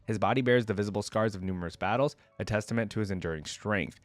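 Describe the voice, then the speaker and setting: Low-voiced man, dry close recording. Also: quick pace, dry recording. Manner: informal asides between read passages. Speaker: reaction video host. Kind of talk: reading game lore aloud with casual commentary.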